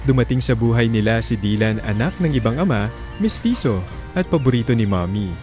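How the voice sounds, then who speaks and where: Casting: man as radio drama narrator, Filipino accent, mid-range voice, dramatic storytelling read over a soft music bed. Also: medium pitch, animated, fairly quick.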